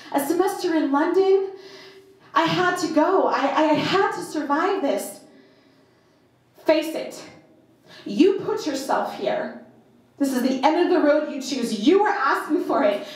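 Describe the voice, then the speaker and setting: A woman performing a first-person dramatic monologue at a live reading, in short, deliberate, halting phrases with emphatic repetition. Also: very high-pitched. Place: indoor live performance in a club. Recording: very echoey live venue.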